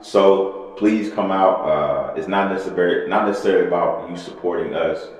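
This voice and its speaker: Deep-voiced man in a very echoey room. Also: normal speed. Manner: rambling, repetitive, motivational. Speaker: personal trainer and podcast co-host. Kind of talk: casual podcast chat. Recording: very echoey room with long reverb.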